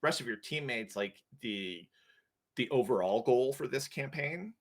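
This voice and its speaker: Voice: mid-range voice, animated, a little slow. A co-host of a live stream tutorial, talking casually and thinking aloud with many fillers while explaining steps. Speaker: co-host, platform guide.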